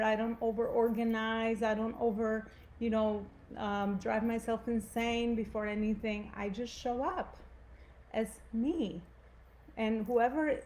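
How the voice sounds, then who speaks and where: A woman's voice: on the high side, a little mellow, slight background noise, poor audio. A woman from Albania with an Albanian accent, giving an earnest, conversational motivational talk to live viewers and often asking "right?". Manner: earnest, conversational, frequent "right?" and "you know".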